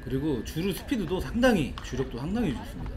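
High, very animated male baseball analyst. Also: quick pace, over music. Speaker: color commentator. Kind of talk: conversational live baseball analysis.

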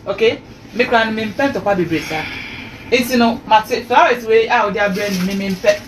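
A woman's voice: a little high, normal speed, roomy sound, recorded from a distance, noisy background. A woman in a small bedroom, questioning and challenging a man who has come to apologise. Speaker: aggrieved woman receiving an apology. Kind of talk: heated reconciliation talk.

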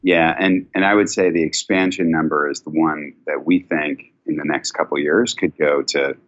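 A middle-aged man answering business questions conversationally as an executive guest, thoughtful and halting, over a video call. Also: rumbling low, steady tone, fairly bright tone. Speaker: company chief executive, guest. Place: indoor office, video call. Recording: dull video call audio.

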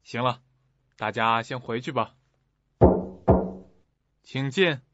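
A male actor plays an authoritative theater manager in a radio drama. He is official, firm and reassuring at a middle pitch. The sound is dry and slightly muffled. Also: slow pace, pushed and bright, no echo, soft background music. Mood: welcoming, puzzled. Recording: dull, dry lo-fi audio.

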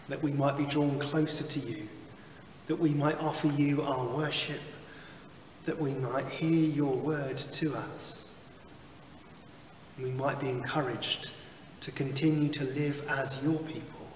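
A man in his thirties leading worship as a chaplain, reading psalms and prayers in a slow, measured liturgical way, recorded in a small chapel from a camera at a distance. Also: mid-range voice, fairly even intonation, reverberant, big-room sound, slight background noise. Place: small chapel with wood-panelled wall. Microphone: far camera, seated behind a lectern.

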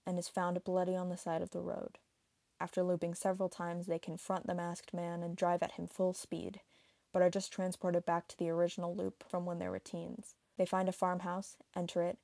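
A woman's voice: on the low side, vocal fry, mellow, no room sound. A woman reading a scripted explainer narration for an online video.

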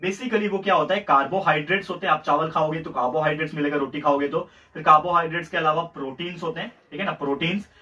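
A young Indian man teaching a whiteboard lesson, slightly hoarse, mixing English words in and checking in with "theek hai". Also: fairly high pitch, pushed and bright. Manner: fast, repetitive teacher talk with frequent "theek hai" checks. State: slightly hoarse throat, clearing it. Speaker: tutor. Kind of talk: whiteboard lecture in Hindi-English mix. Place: room in front of a whiteboard. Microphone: camera at a distance. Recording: distant, dull lo-fi camera audio.

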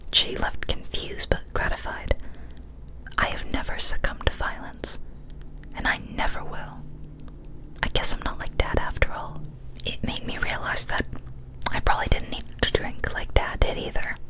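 A young woman whispers throughout, soft and breathy. She reads a spooky tale as ASMR, unhurried, with careful pauses between phrases. Her mouth is close to a studio condenser microphone. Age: young adult. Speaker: ASMR storyteller. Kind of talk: whispered ASMR horror story reading. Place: small decorated indoor room. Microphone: large studio condenser mic, up close.

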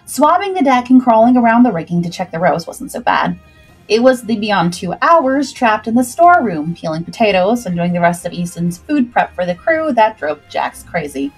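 A woman's voice: medium pitch, sing-song, moderate pace, husky, faint music behind, rough recording. A woman narrating a scripted adventure story expressively as the host of a livestream.

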